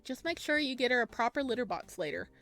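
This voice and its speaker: Higher-voiced woman reading a game aloud. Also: expressive, fast talker, fairly bright tone. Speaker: game player reading and reacting to a visual novel. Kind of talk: casual let's play, reading dialogue aloud with commentary. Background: faint music.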